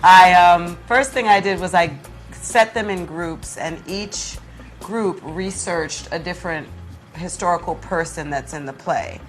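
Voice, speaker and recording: A woman's voice: lowish voice, a little slow, soft background music. A middle-aged woman, a teacher, explaining things conversationally as a guest in an interview. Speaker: high school drama teacher, interview guest.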